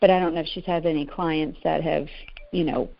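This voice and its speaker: A woman's voice: deep voice, fairly even intonation, a little fast, gravelly. A middle-aged woman caller talking casually and plainly, hesitant and often restarting her sentences as she asks questions. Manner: hesitant, self-interrupting, plain-spoken. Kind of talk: casual question-and-answer talk. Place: conference call. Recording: muffled, narrow-band conference call audio.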